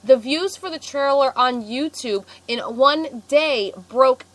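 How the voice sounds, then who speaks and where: A woman's voice: sits high, slow pace, a bit bright, low-quality recording. A woman co-hosting a casual podcast, congested from a cold, chatty and often correcting herself. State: congested, sick. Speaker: podcast co-host. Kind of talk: casual chat.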